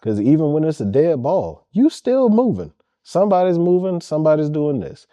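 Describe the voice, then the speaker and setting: A man's voice: on the high side, very animated, normal speed, warm tone, dry recording. A man, a head basketball coach, answering interview questions earnestly and emphatically, repeating his key points.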